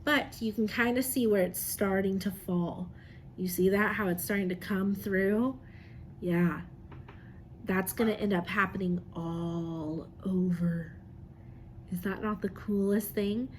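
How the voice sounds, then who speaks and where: A woman's voice: medium pitch, fairly even intonation, a little slow. A woman teacher reading aloud and explaining science to young children in simple, encouraging phrases. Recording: noticeable background noise.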